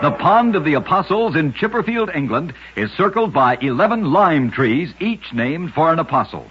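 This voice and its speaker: A man's voice: fairly high pitch, big pitch swings, normal speed, faint music behind. A man narrating short scripted oddity stories as a radio host, with a dramatic storytelling delivery. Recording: muffled vintage radio recording.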